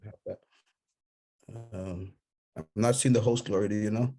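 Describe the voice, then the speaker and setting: A man's voice: lowish voice, steady tone, normal speed, mellow. A man hosting an online church meeting over a video call, apologetic and stumbling as he helps with screen sharing. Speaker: meeting host.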